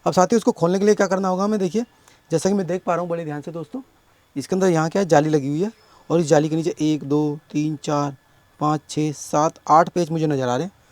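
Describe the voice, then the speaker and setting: A man with a sore, hoarse throat explaining a speaker repair step by step at his workbench, often addressing viewers as friends. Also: a little high, fairly even intonation, a little mellow. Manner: explains slowly, keeps calling viewers friends. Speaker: electronics repair technician.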